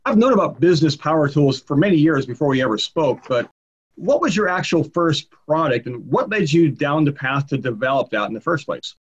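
A man's voice: on the high side, expressive, brisk, husky, lo-fi sound. A man hosting an interview show, introducing his guest and asking questions in an upbeat way. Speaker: show host.

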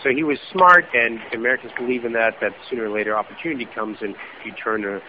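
A man's voice: mid-range voice, quick pace, dull audio, a little hiss or hum. A man whose first language is German, an author answering interview questions in a reflective, thinking-aloud way with frequent "you know" asides. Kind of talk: radio interview conversation.

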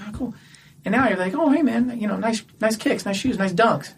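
A man's voice: high voice, fairly quick, creaky. A man chats casually as a guest on a podcast. He gripes in a blunt way and swears without fuss. Faint noise and small clicks sit behind his voice.

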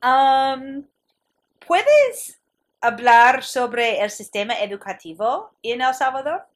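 A woman hosting the interview, speaking with lively, expressive intonation and asking slow, deliberate questions. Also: high voice. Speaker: host. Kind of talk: interview hosting and scripted intro.

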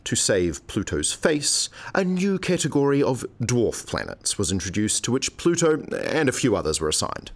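A man's voice: mid-range voice, sing-song, normal speed, few pauses. An actor playing a formal, dryly witty male academic who explains things precisely in a radio play. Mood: explaining.

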